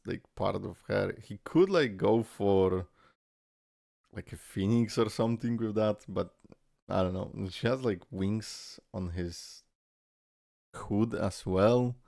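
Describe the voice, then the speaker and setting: A young man with a low, gravelly voice gives loose, joking reaction commentary, swearing and laughing as he goes. He is recorded close on a dry mic, with faint music playing underneath. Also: a little mellow, no echo. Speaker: reaction video host.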